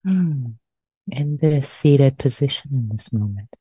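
A woman teacher leading a guided meditation, speaking gently and softly, lingering on and repeating phrases. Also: a bass voice, expressive, breathy edge, no echo. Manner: gentle, soft-spoken, unhurried with repeated phrases.

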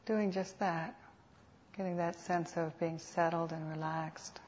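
A woman teaching guided meditation in an unhurried, soothing manner with reflective pauses, on a dull lo-fi recording. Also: lowish voice, fairly even intonation, darkish tone, a little hiss or hum. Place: meditation center. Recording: dull, lo-fi live talk recording.

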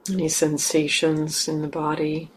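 A woman meditation teacher leading a guided meditation gently and haltingly, in short phrases with long pauses. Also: low voice, completely flat delivery, poor audio. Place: meditation center hall. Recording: clear background noise, room ambience.

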